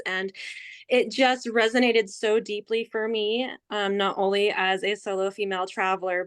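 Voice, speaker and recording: A woman's voice: medium pitch, smooth, no echo. A woman hosting a podcast who speaks earnestly and effusively in long, flowing sentences. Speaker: podcast host. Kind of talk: warm interview questioning.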